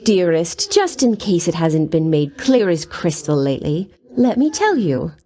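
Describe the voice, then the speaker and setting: A video game goddess of love with a fairly low female voice. She is flirtatious and silky, talking down to her listener with pet names. Beneath the coquettish delivery sits a vain, jealous temper that can threaten. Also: sing-song, measured pace, dark tone. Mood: offended, threatening. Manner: coquettish, condescending, silky endearments with veiled threats.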